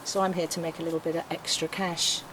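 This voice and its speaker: A woman's voice: deep voice, flat delivery, quick pace, background noise, lightly acted. A woman acting a blunt, self-deprecating, flirty divorced woman in a script table read, with a sardonic manner. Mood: resigned.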